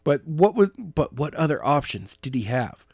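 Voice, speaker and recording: A man reading a novel chapter aloud as narrator, in a steady, plain reading voice. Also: fairly high pitch, moderate pace, no echo, studio quality. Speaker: audiobook narrator.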